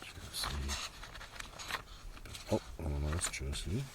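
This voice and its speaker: An extremely deep-voiced man rambles through a casual ASMR show-and-tell, half murmured and sometimes whispered. His intonation wanders up and down in a lively way. He is recorded outdoors, with clear breeze noise and rustling pages around the voice. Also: slow. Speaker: host of a collection show-and-tell. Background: breeze, paper rustling and page flipping.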